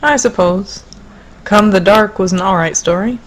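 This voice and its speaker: A woman playing a jaded, sardonic young woman in a conversational audio drama, speaking bluntly and deadpan. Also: mid-range voice, normal speed, close to an everyday voice. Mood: dry, casual. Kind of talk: low-key conversational audio drama acting.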